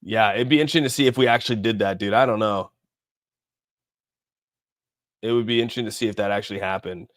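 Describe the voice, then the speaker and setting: A man's voice: on the low side, racing, no room sound, clean studio sound. A man hosting a casual livestream, rambling informally and thinking aloud as he comments on markets and responds to viewers. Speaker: livestream host. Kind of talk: casual live chat commentary on crypto markets.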